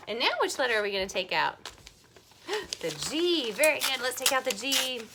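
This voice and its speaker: A woman hosting a children's storytime, talking to young viewers in an animated, encouraging way with playful questions. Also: high voice, moderate pace, background noise. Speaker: children's library storytime host.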